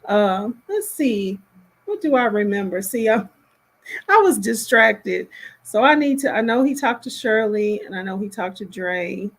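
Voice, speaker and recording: A woman hosting a casual live stream, giving commentary in a rambling, halting way as she thinks aloud. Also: mid-range voice, lively intonation, creaky.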